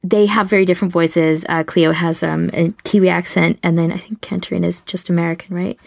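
A woman podcast co-host with a slightly low, gravelly voice full of vocal fry. She explains her picks conversationally and casually. The sound is dry and close to the mic. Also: little pitch movement, fairly quick, dry recording. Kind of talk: casual explanatory chat, giving recommendations.

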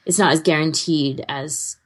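A woman podcast co-host with a husky voice, chatting casually in an informal, enthusiastic, hedging way. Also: sits low, fairly even intonation, measured pace. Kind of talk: casual chat about fan fiction audio readings.

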